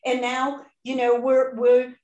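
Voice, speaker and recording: A woman in her sixties with a Chicago accent, hosting a podcast interview in a chatty, animated way. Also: sits high, slow pace, echoey room, highs cut off. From Chicago, USA. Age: 60s. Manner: chatty, animated, laughs often. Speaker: podcast host. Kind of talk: casual interview chat.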